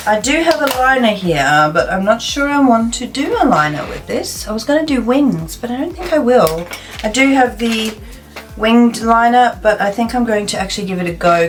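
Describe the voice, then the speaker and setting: A woman in her thirties from South Africa, chatting and thinking aloud as she does her makeup in a get-ready-with-me video. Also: medium pitch. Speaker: beauty vlogger. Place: plain indoor room.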